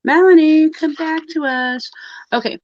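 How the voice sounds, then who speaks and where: A woman's voice: high voice, measured pace, darkish tone, no echo. A woman co-hosting a pagan livestream, chatting casually and laughing often as she rambles through the topic. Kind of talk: casual group chat on a live video call. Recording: dull dry video-call audio.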